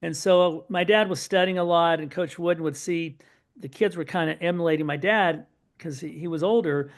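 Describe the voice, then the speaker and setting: Middle-aged man, slightly high voice, animated storyteller, podcast guest. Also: normal speed. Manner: lively anecdotal storytelling with frequent asides. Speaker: podcast guest, son of Holocaust survivors. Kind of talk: storytelling in an interview.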